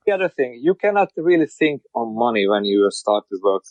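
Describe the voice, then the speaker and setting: A man's voice: mid-range voice, sing-song, fairly quick, no room sound. A man with a Hungarian accent talks as a podcast guest in imperfect English, stumbling and repeating words as he restarts his sentences, then pressing his points emphatically. The sound is dry and close, with a slightly dull, muffled tone. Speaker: guest, car wrap shop owner. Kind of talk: casual interview.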